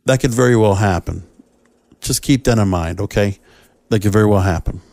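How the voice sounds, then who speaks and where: A man hosting a solo podcast, giving commentary in a halting, rambling way that circles back on his points. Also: fairly low pitch, vocal fry, darkish tone, no echo, studio quality. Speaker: podcast host.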